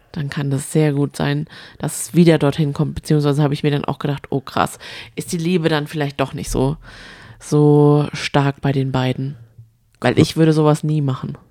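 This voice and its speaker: Eine Frau plaudert als Co-Moderatorin eines Podcasts locker und mitfühlend über Reality-TV. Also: female voice, sits low, level tone, normal speed, dark tone, no room sound, clean studio sound. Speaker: podcast co-host. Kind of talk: casual chat about reality TV.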